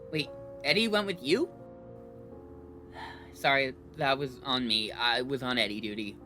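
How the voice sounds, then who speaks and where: Casting a man for audio drama: concerned, loyal sidekick, mid-pitched and bright. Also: a little slow, soft background music.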